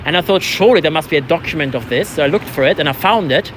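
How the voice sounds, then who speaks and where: A man from Switzerland who learned English as a second language talks casually to camera and companions. His voice sits a little high, and his delivery is conversational, with frequent affirmations. Faint outdoor street noise sits behind him. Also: animated, rapid-fire. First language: not English, learned English after moving to England. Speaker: vlogger, protester. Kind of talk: casual chat. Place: outdoors on a city street at a protest.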